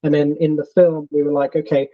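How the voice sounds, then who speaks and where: A man's voice: a little high, little pitch movement, a little fast, narrow, tinny audio. A young adult man with a London accent, a filmmaker answering interview questions with many ums and restarts. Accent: London English. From England. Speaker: filmmaker guest.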